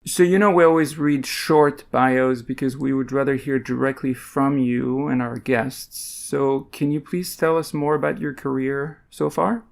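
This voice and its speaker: A man hosting a podcast interview, asking questions in a relaxed, lightly teasing way. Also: medium pitch, measured pace, vocal fry, few pauses. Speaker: podcast host.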